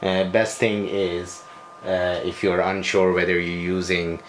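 A man presenting a hands-on equipment tutorial, speaking off the cuff in halting, broken phrases with frequent hesitations. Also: low voice, little pitch movement, low-quality recording. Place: small bedroom, indoors. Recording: home video with noticeable background noise.

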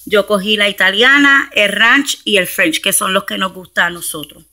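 A woman with a bright, forward voice talks through her shopping finds on camera. Her delivery is chatty and practical, with English words dropped in. It is recorded on a phone held in her hand at home. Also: mid-range voice, normal speed. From Camden, New Jersey, USA. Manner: chatty, explains prices step by step, mixes in English words. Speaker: couponing vlogger. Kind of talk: casual haul walkthrough. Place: home, beside a shelf of groceries, indoors. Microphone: handheld phone camera.

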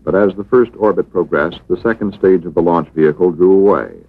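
A man reads scripted documentary narration in a measured, matter-of-fact manner. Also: low voice, noisy background. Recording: dull vintage 1960s film soundtrack.

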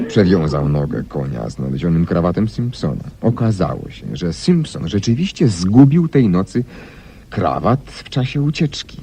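A low, mellow man's voice as a self-assured master detective in a 1970s radio play. He lays out deductions theatrically, with big swings of pitch and deliberate pauses, on a slightly noisy old recording. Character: brilliant, self-assured male detective explaining his deductions. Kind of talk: 1970s Polish radio drama acting.

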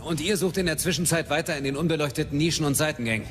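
An actor playing a brisk, authoritative military captain with clipped delivery in a radio drama. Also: male voice, a little high, over music, close to an everyday voice. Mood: commanding. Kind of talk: German radio drama acting. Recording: long hall-like reverb.

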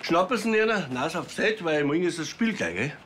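A man's voice: on the high side, expressive, a little fast, a bit bright, a little hiss or hum. An actor playing a bustling, chatty Bavarian man at a sports club, tagging questions onto his instructions in a TV comedy.

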